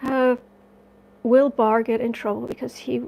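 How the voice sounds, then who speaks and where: Chatty woman, slightly raspy today. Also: on the high side, little pitch movement, measured pace, a little mellow, rough recording. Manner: rambling, self-correcting, chatty with viewers. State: slightly raspy from dust allergies. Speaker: tarot and astrology livestream host. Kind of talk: casual livestream chat reading comments.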